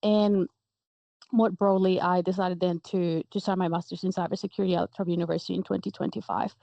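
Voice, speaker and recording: A woman's voice: fairly low pitch, flat delivery, fast talker, gravelly, no echo. A young woman from Guatemala, a guest in an interview, telling her story fluently. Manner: warm laughs, fluent storytelling. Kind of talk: interview answers. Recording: dull, dry sound.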